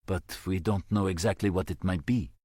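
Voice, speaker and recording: A man's voice: low voice, steady tone, moderate pace, darkish tone, dry recording, studio quality. A man voicing an anxious middle-aged priest who speaks earnestly and apologetically. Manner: earnest, pleading.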